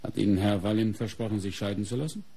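An actor in a German radio crime drama playing a probing, insistent police inspector who questions in a clipped, exacting way. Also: male voice, fairly low pitch, steady tone, fast talker, dark tone, slightly rough.

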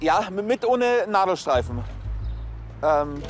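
An actor playing a sheepish, bumbling police underling with a hesitant, halting delivery in a TV crime comedy. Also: male voice, high voice, big pitch swings, soft background music, exaggerated voice.

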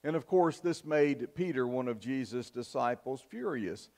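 An older man preaching a sermon in a church, in a measured, earnest style with emphatic pauses. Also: mid-range voice, lively intonation, darkish tone. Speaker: pastor. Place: church sanctuary, at the pulpit. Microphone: fixed camera from the back of the church.

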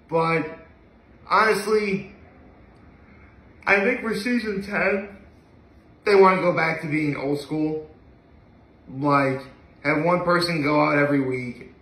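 A young man talks casually to a camera a few feet away. His voice is bright and forceful, pitched a little higher than most men's. He rambles with enthusiasm, and the room adds a clear echo. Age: young adult. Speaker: vlogger. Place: living room, indoors. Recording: echoey room, mic at a distance.

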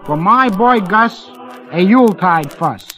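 An effusive sponsor in a vintage radio skit played by a man, declaiming comic verse. His voice is high and forceful with lively sing-song swings, over music on a scratchy old disc. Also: slow pace, exaggerated voice. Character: effusive male sponsor declaiming rhymed holiday greetings.

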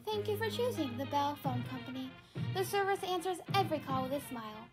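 A woman's voice: high voice, expressive, fairly quick, over music. A woman playing a polished, promotional announcer reading a scripted old-fashioned radio advertisement. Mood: upbeat, promotional.

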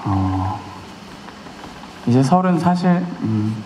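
A thirty-year-old male singer talking softly and shyly to a concert audience between songs, on a handheld stage microphone. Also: medium pitch, big pitch swings, very slow, slight background noise. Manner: soft-spoken, shy and playful with the audience. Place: large concert hall, on stage. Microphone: handheld stage mic. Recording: concert hall with long reverb, music behind.

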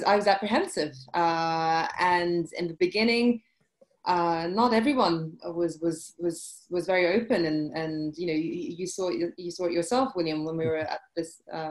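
British woman, forties, lowish voice, halting restarts, laptop webcam mic indoors. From UK and France. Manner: hesitant, many restarts and fillers. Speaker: guest, journalist. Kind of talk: casual interview answers. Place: indoor room, video call.

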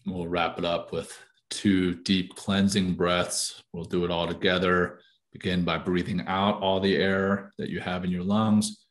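A man leading a guided meditation, giving calm, soothing and deliberate instructions. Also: deep voice.

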